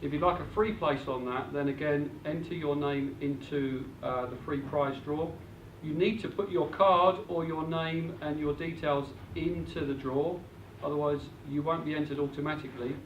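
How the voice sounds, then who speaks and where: A man's voice: a little high, fairly even intonation, normal speed, hardly any pauses, dull audio. A man presenting at a live seminar, practical and matter-of-fact, with frequent fillers. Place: meeting room with an audience. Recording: echoey room, distant mic, faint hiss.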